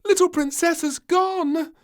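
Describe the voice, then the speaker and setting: A man voicing an excitable, easily frightened palace maid in a storybook, shrill and flustered. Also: unhurried. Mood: panicked.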